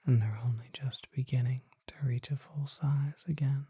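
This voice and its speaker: A performer voicing a mysterious, courtly vampire apothecary in a breathy, formal ASMR whisper, close to the microphone. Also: mid-range voice, steady tone, dry recording, dull audio. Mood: hushed, mysterious. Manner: slow, formal, deliberate, hushed.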